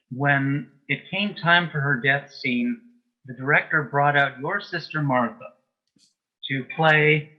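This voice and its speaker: A man's voice: medium pitch, dull audio. A middle-aged man reminiscing as an interview guest in a precise, matter-of-fact, dryly humorous way. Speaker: guest, author and playwright. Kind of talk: casual reminiscing interview chat.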